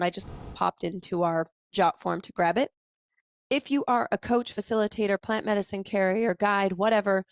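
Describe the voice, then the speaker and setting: A woman's voice: mid-range voice, dry recording. Conversational woman walking through a form. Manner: conversational, explains while browsing a form, self-correcting. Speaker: coach and facilitator. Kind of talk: instructional walkthrough.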